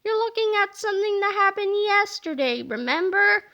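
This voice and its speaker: A smug know-it-all boy genius from a storybook, put on by a woman reading aloud. His tone is bright and pleased with itself. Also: very high-pitched, lively intonation, moderate pace, lo-fi sound, voice pushed up, full character voice. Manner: smug and self-satisfied.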